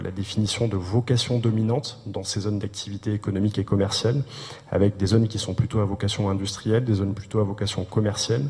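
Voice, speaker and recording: A man with a fairly low, mellow voice gives a technical presentation as an urban planner. He explains step by step in short, hesitant bursts. His voice carries a long reverb from a large hall.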